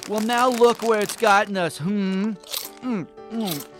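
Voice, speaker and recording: An actor playing a smug, cowardly rabbit celebrity in a comedic radio play, with a scolding, affected manner. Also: male voice, high-pitched, takes it slowly, a bit bright, faint music behind. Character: rich, smug, cowardly male rabbit celebrity making announcement.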